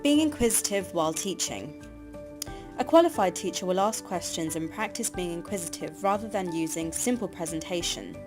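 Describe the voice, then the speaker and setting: A woman giving scripted narration for a teacher training course, reading in an even, measured way. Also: lowish voice. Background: background music.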